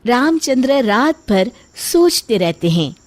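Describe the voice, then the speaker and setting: A woman narrating a children's cartoon story in a steady storyteller's manner. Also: on the high side, slow pace, faint music behind.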